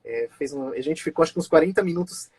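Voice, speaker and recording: Husky-voiced man hosting a live chat. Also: fairly high pitch, fast talker, darkish tone, no echo. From born in Israel, raised in Brazil. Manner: chatty, many fillers and restarts, laughs often. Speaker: host of a live stream. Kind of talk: casual live-stream conversation.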